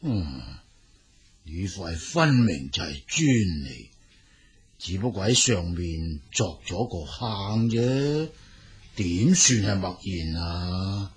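A man performs an elderly scholar-gentleman for a radio story. His voice is low and heavily rasping, moving slowly with expressive swoops. He sounds benevolent, curious and easily amazed. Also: heavily acted. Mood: doubtful, surprised. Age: older. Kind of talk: Cantonese storytelling radio drama acting.

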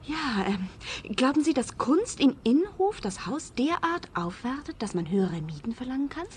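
Animated, officious landlady. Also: high-pitched, normal speed. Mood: greedy curiosity. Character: brisk, money-minded female landlady, easily indignant. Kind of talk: radio crime comedy acting.

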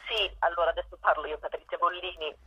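A woman with a slightly rough, husky voice talks chattily as an interview guest. The sound is dull and lo-fi, coming through a phone on speaker. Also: lowish voice, fairly even intonation, fast talker, bright, forceful tone, no echo. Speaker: stage actress, interview guest.

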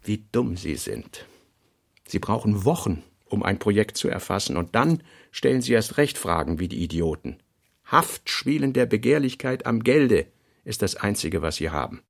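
A man reading scripted literary notes for a radio play, in measured, fragmentary phrases. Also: on the low side, very animated, vocal fry. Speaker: reader of a writer's working notes.

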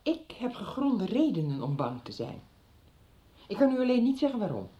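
A woman's voice: on the high side, very animated, normal speed. An actress playing an anxious, disapproving mother in a radio drama, firm but hesitant and evasive. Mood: worried, reproachful. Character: anxious, disapproving middle-aged mother of teenage boy. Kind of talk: 1990s radio drama acting. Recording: slightly dull radio drama recording.

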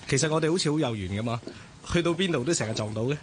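Radio drama played by an actor: a bookish, courteous man with a medium-pitched voice and a Hong Kong Cantonese accent. He is genial, attentive and gently flirtatious. Some noise lies behind him. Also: lively intonation, a little fast, close to an everyday voice. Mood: friendly.

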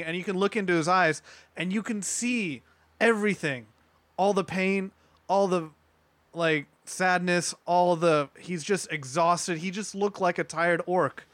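A man's voice: high voice, a bit bright, no room sound. A man speaking as a panelist in a casual podcast discussion, earnest and emphatic about his points.

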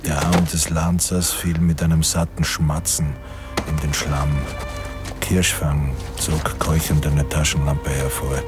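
Radio play narrator: grave, literary, extremely low register, over clear music. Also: male voice, flat delivery, a little slow. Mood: grave, measured. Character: grave male narrator of a wartime story.

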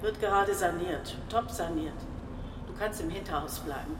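A woman acting in a German radio drama as a cautious, businesslike property manager, low-key and instructing. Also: moderate pace, lightly acted. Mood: cautious, matter-of-fact. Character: cautious, businesslike woman showing an empty building. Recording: echoey empty building, distant, noisy.

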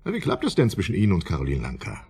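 Husky, sardonic expert, mocking and condescending. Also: male voice, sits low, wildly swooping pitch, very fast, darkish tone, exaggerated voice. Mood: dry, needling. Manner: sarcastic, patronising, colloquial. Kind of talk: German radio drama acting.

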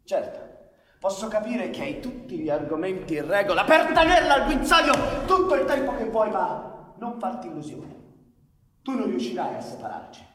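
Crude hot-headed outlaw, sardonic, really high voice that swings around, stagey radio play. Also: male voice, big pitch swings, normal speed, fairly bright tone. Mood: menacing. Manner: sardonic, swearing, theatrical. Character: brash, hot-headed, crude male outlaw, mocking and defiant. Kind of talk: theatrical radio drama acting. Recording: roomy echoing stage sound, distant, faint noise.

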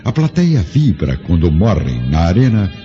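A man narrating a radio drama in a grave, measured storytelling manner. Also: low-pitched, huge pitch swings, warm tone. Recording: muffled audio with music score.

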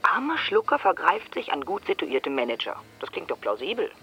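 Sardonic, animated woman on a call. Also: lowish voice, fairly quick, exaggerated voice. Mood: dryly mocking. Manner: dry, mocking, ironic. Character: sardonic, teasing workplace confidant on the phone. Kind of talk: naturalistic radio drama acting.

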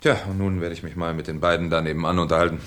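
An actor playing a shrewd, courteous French police commissioner with dry irony in a 1970s German radio play. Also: male voice, deep voice, little pitch movement, fairly bright tone. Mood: wry, commanding. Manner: polite, dryly ironic, unhurried questioning. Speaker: actor in a radio crime drama.

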